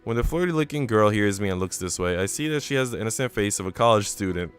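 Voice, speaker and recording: A man with a low, smooth voice works as a game streamer, reading a visual novel aloud and chatting casually around it. His delivery is clean and crisp, with music playing behind him. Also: lively intonation, fast talker. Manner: chatty asides between narrated passages.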